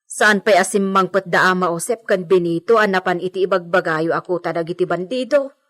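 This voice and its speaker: A woman's voice: on the low side, brisk, fairly bright tone, natural voice. A woman playing an anxious, deferential village servant in a radio drama. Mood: anxious, pleading. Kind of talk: Ilocano radio drama acting.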